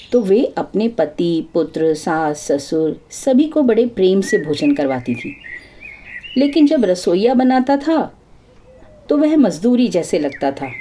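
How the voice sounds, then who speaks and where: Mellow woman storyteller. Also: medium pitch, a little hiss or hum. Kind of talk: scripted folk-tale narration.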